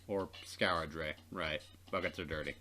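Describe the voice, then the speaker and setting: A man reading a visual novel aloud as a Let's Play narrator, expressive and playfully dramatic. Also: very deep voice, normal speed.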